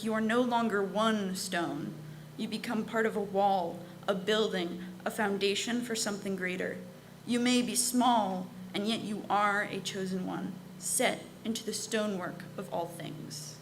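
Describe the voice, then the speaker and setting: A young woman reading a prepared reflection at a church service in a measured, poetic way. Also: medium pitch, steady tone, background noise. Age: young adult. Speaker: lay speaker at a church service. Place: church sanctuary.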